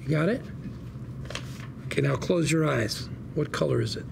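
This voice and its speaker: An actor playing a slick, fast-talking con-artist lawyer at a drama table read, full of persuasive, slangy patter. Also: male voice, a little high, gravelly, noisy background. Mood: brisk instructing. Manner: glib, persuasive patter with colourful slangy quips.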